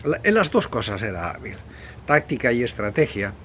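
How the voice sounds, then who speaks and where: A male presenter from Spain talks history in a loose, conversational monologue. He speaks at a middling pitch and often hesitates, corrects himself and drifts into asides. The recording carries clearly audible background noise. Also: very animated, a bit bright. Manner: rambling, digressive, hesitant with frequent pauses and self-corrections. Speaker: video presenter.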